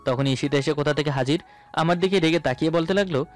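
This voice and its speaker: Male narrator for romantic audio fiction, higher-pitched, first-person scripted read, music bed. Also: fast talker. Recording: voice over background music.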